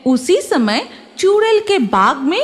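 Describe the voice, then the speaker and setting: High, animated woman storyteller. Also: a little slow, slight background noise. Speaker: narrator. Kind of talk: scripted storytelling narration for a cartoon.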